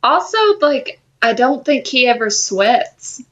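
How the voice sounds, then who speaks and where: A woman's voice: a little high, slow, lo-fi sound. A woman co-hosting a podcast, talking in an informal, slangy way about pop culture. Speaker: podcast co-host. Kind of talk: casual pop-culture chat.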